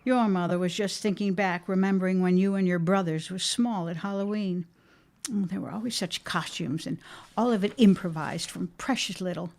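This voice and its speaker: A woman's voice: lowish voice, fairly even intonation, normal speed, a little mellow, close to an everyday voice. An actress playing a fond, nosy middle-aged shopkeeper mother in a period audio drama, chatty and folksy. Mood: fond, cheery.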